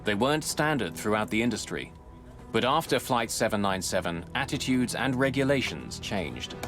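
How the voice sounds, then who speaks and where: A man giving scripted, dramatic documentary narration in a measured, grave way, close on a studio mic. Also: lowish voice, very animated. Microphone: close studio voice-over mic. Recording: music bed under narration.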